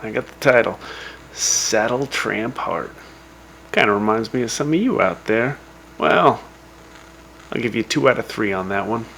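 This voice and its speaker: A man's voice: on the low side, animated, unhurried, vocal fry, long pauses, a bit bright, slight background noise, rough recording. A man hosting a radio show as a folksy wasteland DJ, chatting casually and conversationally with wry, teasing asides. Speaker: radio host. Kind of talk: in-character casual radio DJ broadcast.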